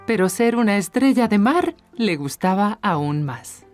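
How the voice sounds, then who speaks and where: A woman narrating a children's cartoon as a gentle storyteller, in scripted storybook style. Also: mid-range voice, animated, a little slow, music in the background, lightly acted. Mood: gentle, storytelling.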